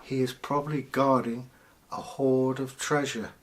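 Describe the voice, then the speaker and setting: A man voicing a wry Norse father advising his son in a measured, deadpan way, within a folktale. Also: mid-range voice, close to an everyday voice. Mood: cautioning.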